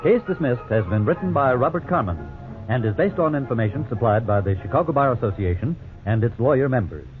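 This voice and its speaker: A man works as a radio announcer, reading scripted credits in a crisp, formal way. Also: mid-range voice, lively intonation, quick pace. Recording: tinny old radio broadcast with music.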